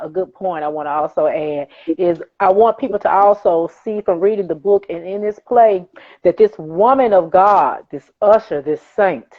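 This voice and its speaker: A woman's voice: lowish voice, highs cut off. A woman speaking as an author guest in a conversational interview, earnest and emphatic, building points through repeated phrases.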